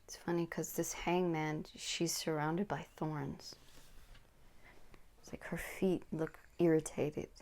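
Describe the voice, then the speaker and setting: A woman with a low, gravelly voice reads tarot cards to her listeners. She talks slowly in an even, flat tone and often slips into a soft whisper close to the mic. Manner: slow, soothing, drops into whispers. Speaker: tarot reader. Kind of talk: pick-a-card tarot reading.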